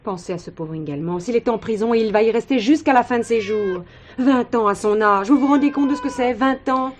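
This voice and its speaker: Actress as a quick, earnest commissioner's wife. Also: female voice, a little high, slight background noise, close to an everyday voice. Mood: earnest pleading. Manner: polite, pleading, insistent. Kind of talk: French stage or TV play acting.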